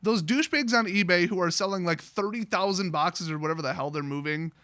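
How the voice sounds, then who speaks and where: A man talks in a high-pitched, forceful, bright voice. He rambles through his story like a casual vlogger, sarcastic, blunt and quick to swear. The sound is close and very dry, with hardly any room to it. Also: no echo. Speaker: online vlogger telling a story, card seller.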